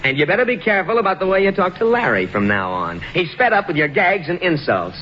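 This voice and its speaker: A genial, scheming agent, with a highish voice that swings up and down. He is chummy and smug, in old radio comedy style on a muffled recording. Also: very animated, fast, sharp tone, slight background noise, exaggerated voice. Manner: chummy, smug, stammers when flustered. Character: glib, self-satisfied talent agent, genial schemer.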